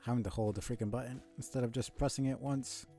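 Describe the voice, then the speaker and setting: A man's voice: mid-range voice, fairly even intonation, fast, dark tone, faint music behind. A man giving casual live commentary on a video game, muttering in a laid-back, mildly grumbling way. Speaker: game streamer.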